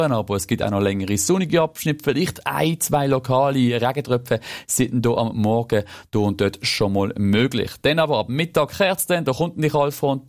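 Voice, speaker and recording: A man with a Swiss German accent reads the weather on live radio, his pitch sitting in an ordinary middle range. He talks in a relaxed, chatty way and trips over a word now and then, with no stiff script in his delivery. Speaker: weather forecaster.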